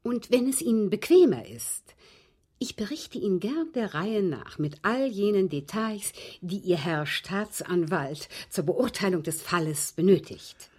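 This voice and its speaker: A woman's voice: mid-range voice, lively intonation, unhurried, a little mellow, husky. A woman playing a genteel, unruffled widowed lady in a radio drama, politely and precisely confessing with cool amusement. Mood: coolly obliging. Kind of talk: German radio drama acting.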